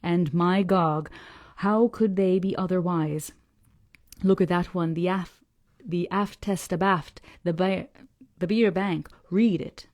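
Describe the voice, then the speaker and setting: Woman doing a gruff old fisherman. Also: lowish voice, fairly even intonation, a little mellow, no echo, clean studio sound. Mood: insistent. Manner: slow, dragged-out dialect speech. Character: old male fisherman, gruff, scornful, preachy.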